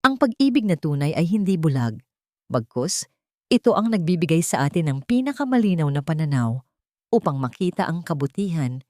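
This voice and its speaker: A woman with a Filipino accent reads a scripted dramatic story as an audiobook narrator, in an earnest, measured way. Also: sits low, sing-song, dark tone, no echo, clean studio sound.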